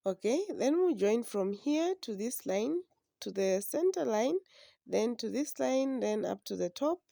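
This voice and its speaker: Hoarse woman sewing instructor, gravelly, mellow tone, slow patient delivery, dry sound. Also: a little high, lively intonation, dry recording. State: hoarse from a flu. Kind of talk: step-by-step drafting tutorial.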